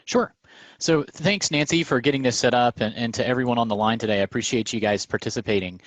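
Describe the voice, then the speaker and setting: A man's voice: mid-range voice, quick pace, dry recording. A man from southern Louisiana presenting informally on a conference call, conversational in his delivery. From southern Louisiana, United States. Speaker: presenter, small business cybersecurity advisor. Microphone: remote conference call.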